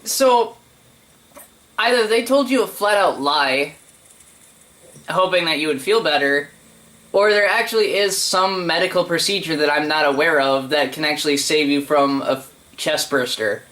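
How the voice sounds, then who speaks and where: A man with a fairly high voice commentates casually on a game. He sounds like a host reacting as he goes, opinionated and colloquial in his wording. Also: moderate pace, a bit bright, rough recording. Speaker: gaming video host.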